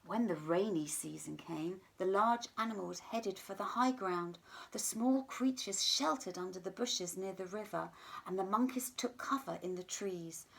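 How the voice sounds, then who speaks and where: A woman with a mid-pitched voice reads a storybook for children. Her delivery is expressive and deliberate, shaped as storytelling. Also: vocal fry. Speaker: teacher reading a picture book to children. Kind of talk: children's story read-aloud.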